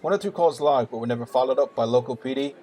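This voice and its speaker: A man's voice: mid-range voice, fast talker, warm tone, natural voice. A man playing a grim, weary police detective in a radio play, speaking in dramatic dialogue. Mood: grim, urgent. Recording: clear hum and background noise.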